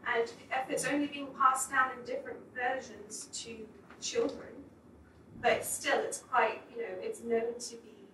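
A woman's voice: a little high, flat delivery, a little slow, bright, forceful tone. A woman in the audience at a public talk, asking a long, reflective question. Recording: echoey room, distant mic, faint noise.

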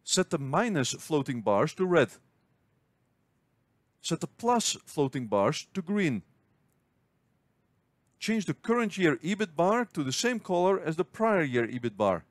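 Guy walking you through a spreadsheet tutorial, bouncy higher voice, super dry close mic. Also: big pitch swings, a little slow, long pauses, dry recording. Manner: clear step-by-step explaining. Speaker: finance tutorial host. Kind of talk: scripted screen-recording tutorial.

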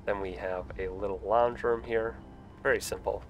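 A man's voice: on the low side, normal speed, dull audio. A young man with a stuffy voice from a cold, chatting casually with lots of fillers while live-streaming a building game. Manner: relaxed, rambling, frequent fillers.

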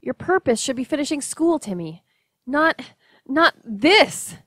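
An actress plays a worried lover in an audio drama. Her voice sits a little high and is recorded dry. She pleads earnestly. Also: very animated, unhurried, fairly bright tone, dry recording, heavily acted. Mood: pleading. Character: worried, pleading adult woman, secret lover.

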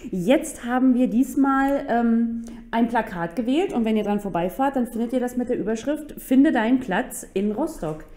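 A woman co-hosting a casual podcast, relaxed and quick to laugh, explaining things with a few fillers. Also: fairly high pitch, roomy sound. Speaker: podcast co-host. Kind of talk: casual chat. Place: office room.

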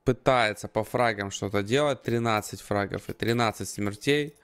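A man's voice: lowish voice, normal speed, fairly bright tone, smooth. A man doing live esports commentary, analysing the play as he calls it.

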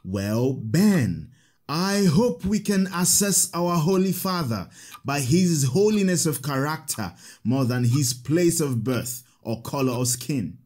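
Patient priest, lively swooping pitch. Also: male voice. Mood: gently instructive. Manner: patient, explanatory, measured. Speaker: actor in an audio drama. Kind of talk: audio drama storytelling.

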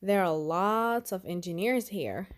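A woman voicing a patient, knowing mother in a picture book, explaining in a measured way. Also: medium pitch, voice pushed down, close to an everyday voice. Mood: informative.

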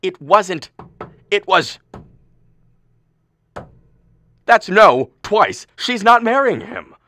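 A man playing an exasperated, blustering middle-aged father and husband in a radio comedy play, halting and strained. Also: high-pitched, big pitch swings, sharp tone, exaggerated voice. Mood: frustrated. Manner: halting, throat-clearing, erupting when frustrated. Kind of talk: stage comedy acting for radio.

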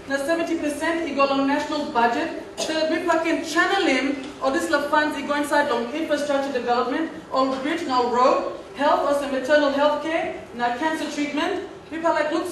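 A woman with a Papua New Guinean accent giving a partly improvised formal answer as a government speaker, heard from afar in an echoey hall. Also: sits high. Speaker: government respondent in a practice parliament. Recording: very echoey hall, distant mic, faint noise.

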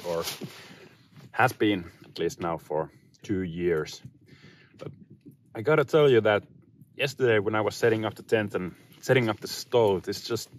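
A man in his thirties with a fairly low voice talks casually to the camera at an outdoor camp. He muses in short, unhurried phrases with pauses between them. Some ambient noise sits behind his voice. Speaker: outdoor vlogger. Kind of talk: casual, reflective chat to camera. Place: inside a small tent and outdoors in snowy woods.

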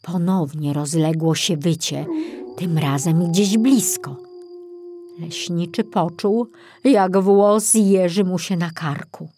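A woman's voice: fairly low pitch, slow. A woman reading scripted narration for a children's audiobook story.

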